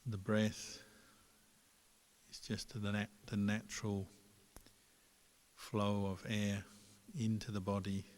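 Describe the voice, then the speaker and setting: A man, a Buddhist meditation teacher, giving guided meditation instruction in a calm, unhurried manner with gentle pauses between phrases. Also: lowish voice. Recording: long hall reverb.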